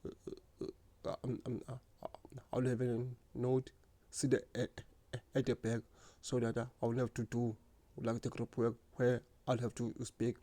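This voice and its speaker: A man telling his own story in a slow, earnest, reflective way, stuttering often with repeated words and restarts. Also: medium pitch, completely flat delivery, mellow. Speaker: speaker sharing lived experience. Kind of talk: personal reflective monologue.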